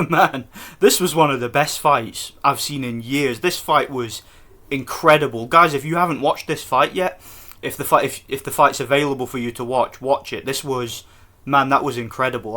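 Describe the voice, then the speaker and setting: A man from Scotland giving a casual, excited post-fight review for his vlog, rambling with restarts. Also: mid-range voice. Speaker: boxing vlogger.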